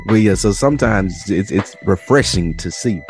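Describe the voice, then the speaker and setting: A man co-hosting a podcast, telling slangy, animated stories in casual chat. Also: on the low side, moderate pace, faint music behind. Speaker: podcast co-host. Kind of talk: casual storytelling chat between friends.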